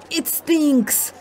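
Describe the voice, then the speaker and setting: A woman's voice: darkish tone, slight background noise. An actress playing a proud, feisty middle-aged mother in an audiobook drama, with indignant, rather formal phrasing. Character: proud, feisty mother newly turned fighter.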